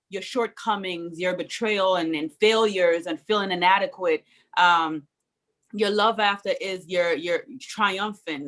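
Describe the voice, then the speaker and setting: A woman's voice: fairly low pitch, fairly bright tone. A woman from Jamaica with a Jamaican accent, a guest in an interview, speaking earnestly and reflectively and laughing easily. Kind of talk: conversational interview.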